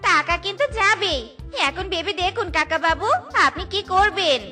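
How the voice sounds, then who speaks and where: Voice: very high voice, normal speed, pushed and bright, faint music behind, full character voice. A performer playing a small, clever village child in a cartoon, polite and sly, coaxing step by step. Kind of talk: Bengali cartoon comedy dialogue.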